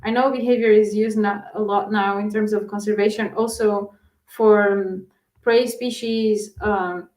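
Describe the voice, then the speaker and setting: A woman's voice: mid-range voice, fairly even intonation, a little slow, a little mellow, roomy sound. A woman from Portugal with a Portuguese accent, a researcher answering interview questions with many fillers and restarts. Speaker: guest researcher.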